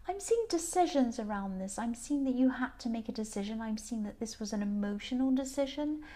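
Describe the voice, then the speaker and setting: A woman with a slightly croaky, tired voice giving a casual tarot reading, gentle and meandering with many ums and restarts. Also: sits high. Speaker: tarot reader.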